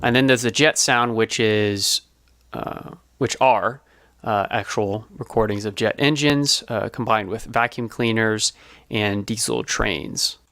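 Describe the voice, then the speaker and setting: A man presenting an informal behind-the-scenes explainer as a sound designer, speaking in a casual, halting way. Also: lowish voice, fairly bright tone.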